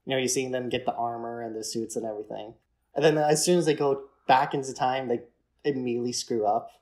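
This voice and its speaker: A man's voice: mid-range voice, steady tone, quick pace, darkish tone. A man co-hosting a podcast, talking through a film in a casual, explanatory, conversational way. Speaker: podcast host.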